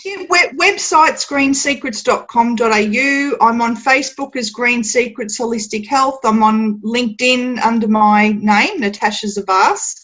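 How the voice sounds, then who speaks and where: Australian woman, guest on a podcast, chatty with lots of ums and laughs, a bit high. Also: a little slow, hardly any pauses, fairly bright tone. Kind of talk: casual podcast interview.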